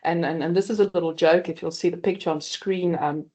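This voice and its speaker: A South African woman in her fifties speaking on a conference panel, halting with many fillers and restarts, often calling the audience guys. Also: fairly low pitch, steady tone, mellow, low-quality recording.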